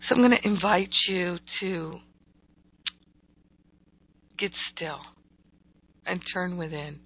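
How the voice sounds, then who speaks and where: A woman with a croaky, gravelly voice giving motivational spiritual teaching, deliberate and emphatic, repeating phrases for weight. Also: lowish voice. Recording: thin, phone-like audio.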